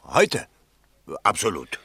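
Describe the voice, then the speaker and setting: An anxious, opportunistic parliamentary candidate in a radio play. His husky voice is forceful and slow, swinging hugely from muttered worry to pompous declamation. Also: fairly low pitch, wildly swooping pitch, dry recording, studio quality, full character voice. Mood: eagerly agreeable. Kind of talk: German radio play acting.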